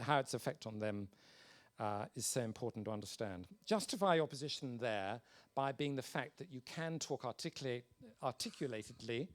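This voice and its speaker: A man in his sixties giving an informal conference talk with career advice, speaking in an emphatic, encouraging way as a senior professional. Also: medium pitch.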